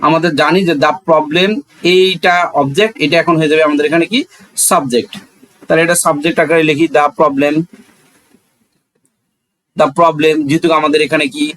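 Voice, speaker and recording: High-pitched Bangladeshi male teacher. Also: steady tone, moderate pace, pauses often, fairly bright tone, rough recording. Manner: didactic, repeats key phrases. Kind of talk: explanatory grammar lesson with rhetorical questions.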